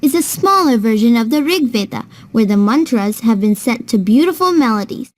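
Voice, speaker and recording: A woman playing a knowledgeable big sister in a children's cartoon, with a fairly high voice. She explains patiently and kindly, as if telling a lesson to a younger child. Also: animated, normal speed. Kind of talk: scripted children's educational storytelling.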